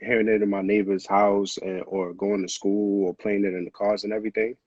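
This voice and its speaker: A man speaks casually and slangily, often repeating himself. His voice is low and husky. The recording is dull and lo-fi. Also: flat delivery, brisk, a little mellow, no echo. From Honduras, moved to the Bronx at eight. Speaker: music producer, interview guest. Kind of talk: casual conversational interview.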